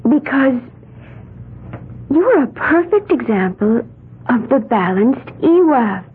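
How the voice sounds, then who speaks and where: A woman's voice: a little high, very animated, takes it slowly, slightly rough, narrow, tinny audio, full character voice. An actress playing a dreamy young woman with odd beliefs who babbles in a wide-eyed, sing-song way, in a 1970s radio drama. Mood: earnest, dreamy. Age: young adult. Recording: band-limited old radio broadcast.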